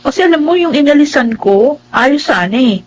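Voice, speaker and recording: A woman with a Filipino accent acting a chatty young housemaid and single mother in a radio drama, expressive and emotive. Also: high-pitched, moderate pace. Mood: chatty, hopeful. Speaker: radio drama lead actress. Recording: music bed under the voice.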